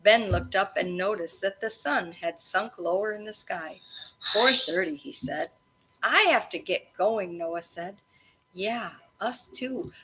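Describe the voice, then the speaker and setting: A woman teacher reading a storybook aloud to children expressively, pausing to explain and prompt her listeners. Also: medium pitch, fairly bright tone. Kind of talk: interactive children's read-aloud of a storybook, with questions and asides. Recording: faint background noise.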